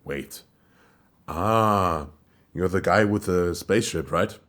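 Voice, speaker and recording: A man's voice: sits low, slow pace, a bit bright. A man acting in an audio play as a distracted, breezy boss who speaks hesitantly and is easily sidetracked. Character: distracted, breezy male boss at a moon colony office.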